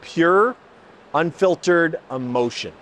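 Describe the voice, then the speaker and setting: A man's voice: fairly high pitch, lively intonation, slow, heavy background noise. A man hosting an automotive video, presenting and explaining in an emphatic, enthusiastic way. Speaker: host, exhaust shop builder. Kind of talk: presenting car exhaust comparison, part scripted, part explanatory.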